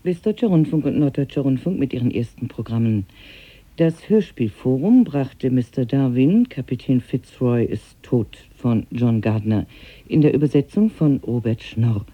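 A man reading formal scripted closing credits as a radio announcer, with a husky voice. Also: mid-range voice, lively intonation, normal speed. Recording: slightly dull 1980s radio sound.

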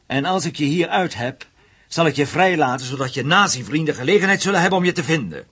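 A man's voice: fairly high pitch, lively intonation, fast talker, fairly bright tone, husky. A man acting in a radio play as a hard, vengeful investigator, menacing and sardonic as he interrogates. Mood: threatening. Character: hard, vengeful male investigator, survivor of Nazi camps.

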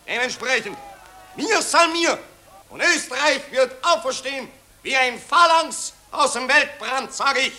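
A blustering Viennese patriot orating on the street, high and bright, slow and windy. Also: male voice, lively intonation, full character voice. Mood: pompous. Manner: pompous, garbled speechifying. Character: bombastic Viennese patriotic speechmaker, middle-aged man. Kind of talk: radio play acting.

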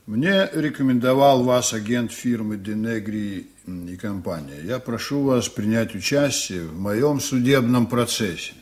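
An actor playing an earnest, determined ship's captain in a radio drama, restrained and formal, with a husky voice. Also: male voice, mid-range voice, a little slow, hardly any pauses, natural voice. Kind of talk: 1980s Soviet radio drama acting.

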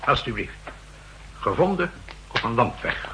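An actor playing a self-assured official who presents evidence in deliberate, declamatory Netherlands Dutch in a 1960s radio drama. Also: male voice, medium pitch, big pitch swings, bright, forceful tone, slight background noise, rough recording. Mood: emphatic, triumphant.

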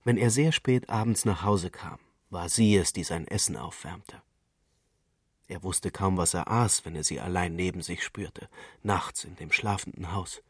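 Deep husky man reading literary fiction. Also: a little slow, dry recording, clean studio sound. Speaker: narrator. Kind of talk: literary scripted narration, radio reading.

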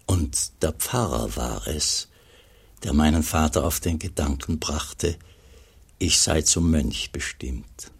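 An old, pious monk narrating a radio play about his youth. The voice is extremely low and heavily husky. He tells his story slowly and solemnly, in measured literary phrases. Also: lively intonation, exaggerated voice. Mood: reflective. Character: aging pious monk recalling his poor mountain boyhood.

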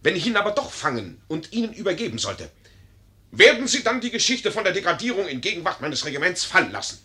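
An actor playing a vain, gullible young army lieutenant, brash and pompous, in a 1950s radio drama. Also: male voice, high-pitched, sing-song, fast, sharp tone, heavily acted. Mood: smug. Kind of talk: 1950s German radio play acting.

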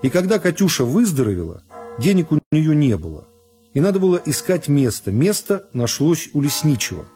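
A man narrating a literary story for a radio drama in a measured, phrase-by-phrase storytelling manner. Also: a little high, very animated, dark tone. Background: music.

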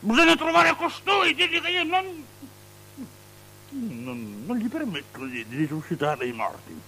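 An actor playing a vain, uneasy, imperious ruler in avant-garde radio drama, halting and repeating himself. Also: male voice, very high-pitched, big pitch swings, bright, forceful tone, a little hiss or hum, exaggerated voice, poor audio. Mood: agitated, imperious. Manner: hesitant, repetitive, halting, exclaiming.

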